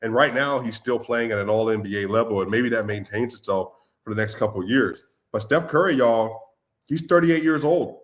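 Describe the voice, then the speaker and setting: A man in a casual sports chat with a middle-pitched voice. His talk is loose and conversational, full of "like", and he speaks to his listeners as "y'all". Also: normal speed. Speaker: podcast co-host.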